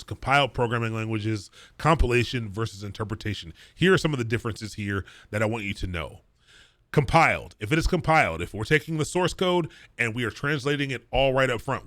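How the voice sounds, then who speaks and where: Man, Baltimore accent, bootcamp coding instructor; bright, forceful delivery, dry close sound, fast and casual with lots of restarts. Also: medium pitch, dry recording. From Baltimore area, Maryland. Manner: casual, talks quickly, repeats and restarts phrases; chats with viewers between points. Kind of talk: live-streamed lecture.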